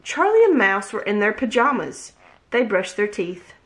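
Woman, 30s, home read-aloud host; expressive, animated storytelling voice, webcam at desk. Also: mid-range voice, moderate pace. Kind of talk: reading a picture book aloud. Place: home room, indoors. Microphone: webcam at desk distance.

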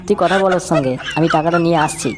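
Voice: mid-range voice, fairly even intonation, quick pace, noisy background, natural voice. A performer voicing a blustering, lecherous old grandpa in a comic cartoon, coarse one moment and coy about romance the next. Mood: eager lecherous. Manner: blustering, coarse, bashful when talking romance. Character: cheeky lecherous old grandpa seeking a young bride.

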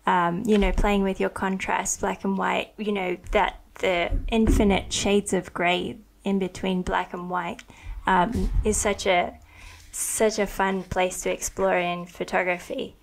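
A young woman hosting a podcast interview, talking in an enthusiastic and thoughtful way with many likes, into a boom-arm mic in a home studio. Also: little pitch movement, a little slow, gravelly, slight background noise, poor audio. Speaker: podcast host. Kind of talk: conversational interview. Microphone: studio mic on a boom arm with headphones.

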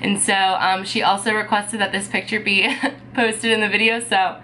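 A woman's voice: mid-range voice, little pitch movement, moderate pace, sharp tone, slight background noise, rough recording. A congested young woman, chatting casually to camera as a beauty vlogger in her bedroom, full of "um" and "like". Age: young adult. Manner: chatty, many fillers like "um" and "like". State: sick and congested. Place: bedroom, indoors.

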